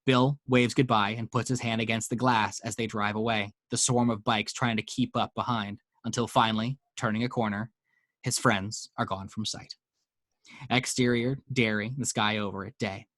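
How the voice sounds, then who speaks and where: A man's voice: on the low side, fairly even intonation, normal speed, a bit bright, no echo. A man reading action descriptions as narrator in a dramatic screenplay table read. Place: at home.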